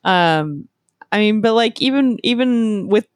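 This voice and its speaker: A woman's voice: mid-range voice, measured pace, no room sound. Laid-back woman from canada, lots of likes and ums, dry close mic. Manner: relaxed, fillers and giggles. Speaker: co-host tasting candy. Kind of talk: casual chat.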